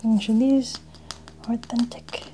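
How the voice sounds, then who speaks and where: Soft spoken lady doing asmr security patdown roleplay, mellow, whispers a lot, bit of faint noise. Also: fairly high pitch, steady tone, normal speed. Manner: soft-spoken, often dropping into whispers, polite step-by-step instructions. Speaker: ASMR role-play performer playing a security patdown agent. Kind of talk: ASMR role-play.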